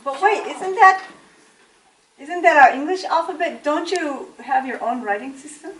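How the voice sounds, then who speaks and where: A woman plays a classroom teacher who leads the lesson by asking pointed questions. Her voice sits high and sounds roomy and distant, as if caught from across the classroom. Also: normal speed. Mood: doubtful. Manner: questioning, gently challenging. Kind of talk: amateur student acting.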